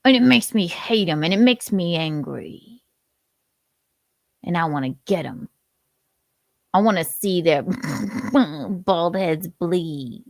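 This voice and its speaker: A gleeful, menacing hawk villain in his forties, put on by a woman, bragging without a trace of regret. The voice is gravelly and swoops up and down. It sounds close and dry. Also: lowish voice, lively intonation, slow pace, pauses often, no echo, exaggerated voice. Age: 40s. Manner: gleeful, unrepentant, menacing boasts. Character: violent male hawk who hates bald men.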